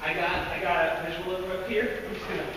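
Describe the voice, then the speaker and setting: High bright-voiced teen boy in an echoey hall. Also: fast. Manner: loud, energetic, laughs often. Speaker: youth speaker. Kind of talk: lively devotional talk to a group. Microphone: no microphone. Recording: echoey hall, far from mic, faint noise.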